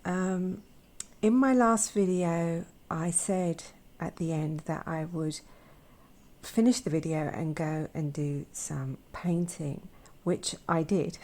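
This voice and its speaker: A young woman hosts a relaxed art vlog in a croaky, sore-throated voice. She speaks very slowly and softly, with a mellow, darker tone, and often sinks into a whisper. Her talk is gentle and unhurried, dotted with small hesitations. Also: on the low side. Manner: soft-spoken, gentle, often drops to a whisper; hesitant with frequent fillers. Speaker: watercolour artist and channel host. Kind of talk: casual art vlog, swatching paints.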